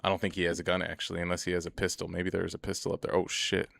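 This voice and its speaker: Deep gravelly young man, casual streamer. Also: completely flat delivery, rapid-fire, fairly bright tone, no room sound. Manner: rambling, profane, chatty, often laughs. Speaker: gaming streamer. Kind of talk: casual gameplay commentary.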